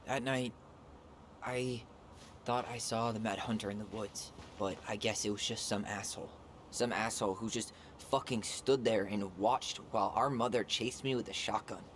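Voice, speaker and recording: A man's voice: lowish voice, fairly even intonation, normal speed, a little hiss or hum, close to an everyday voice. An actor playing an earnest, insistent young man haunted by the past, in a naturalistic video game cutscene. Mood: troubled, urgent. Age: young adult.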